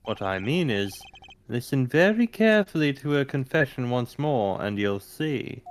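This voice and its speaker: A man voicing a composed, formal investigator who questions patiently and in measured words, in a video game fan dub. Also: medium pitch, very animated, slight background noise, close to an everyday voice. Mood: calm, reasoning.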